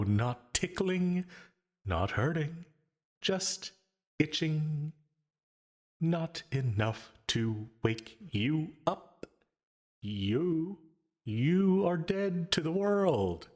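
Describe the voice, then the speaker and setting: Man playing a slow, taunting, possessive demon. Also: mid-range voice. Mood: sinister teasing. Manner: slow, drawn-out taunting with dark chuckles. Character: possessive male sleep paralysis demon, gleefully menacing, taunting. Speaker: voice actor in audio roleplay. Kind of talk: ASMR yandere roleplay, dramatic monologue.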